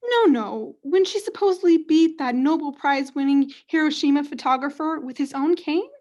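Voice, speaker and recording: High-pitched young woman acting as doting mother. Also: normal speed, darkish tone, muffled recording, natural voice. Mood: coy, probing. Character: doting, self-satisfied mother in denial. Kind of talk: student radio play acting.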